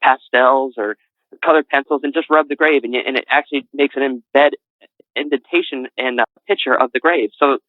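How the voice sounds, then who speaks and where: A man's voice: mid-range voice, a little fast, no echo. Interview guest, man, faint New England accent, earnest and rambling, dry tinny line. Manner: rambling, self-correcting, earnest. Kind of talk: casual interview talk.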